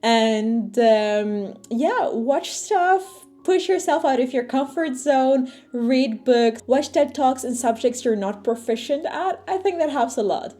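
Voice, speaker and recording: A woman's voice: high-pitched, slow, few pauses. A young woman whose first language is Arabic, chatting casually to camera as a vlogger, full of fillers and asides. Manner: chatty, animated, lots of fillers. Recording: faint music underneath.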